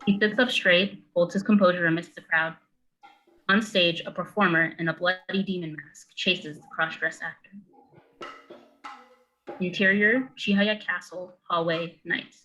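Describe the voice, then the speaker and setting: A woman's voice: lowish voice, fairly even intonation, a little slow, bright, forceful tone, muffled recording, soft background music. A young woman reading scripted narration and stage directions. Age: young adult.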